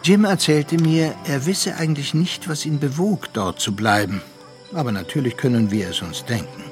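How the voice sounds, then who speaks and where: An actor as a reflective storyteller, narrating a radio drama in a measured, literary way. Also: male voice, on the high side, faint music behind, lightly acted. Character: reflective male storyteller recounting a friend's ordeal.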